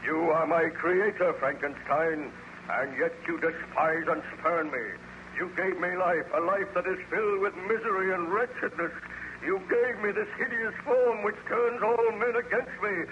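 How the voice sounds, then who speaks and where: An embittered, hideous monster, declaims in a fairly high voice with formal eloquence. It is old radio horror, with a dull sound and heavy noise all around. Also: male voice, a little slow. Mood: bitter. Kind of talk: old-time radio horror drama acting. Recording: muffled, very noisy old radio recording.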